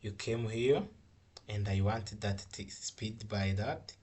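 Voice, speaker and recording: Slow, slightly husky man teaching step by step. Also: on the low side, darkish tone. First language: not English. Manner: repetitive, halting, step-by-step explaining. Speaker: coding tutorial instructor. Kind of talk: informal screen-recorded software tutorial.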